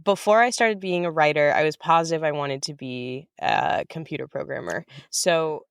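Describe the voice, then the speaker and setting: Informal woman guest, slightly low voice. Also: moderate pace, creaky, smooth, no echo, clean studio sound. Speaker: podcast guest, writer and director. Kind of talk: casual chat.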